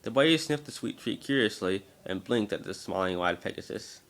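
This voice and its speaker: A man's voice: on the low side, lively intonation, fairly quick, a bit bright. A man narrating a scripted story as an amateur dramatic reading, now and then stumbling over words.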